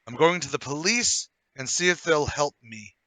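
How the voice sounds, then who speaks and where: Man playing a brash brother-in-law gentleman, highish bright voice, theatrical old-chap manner, radio play, dry close sound. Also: animated, moderate pace, no echo, low-quality recording. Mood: grave, urgent. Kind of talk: radio play table read.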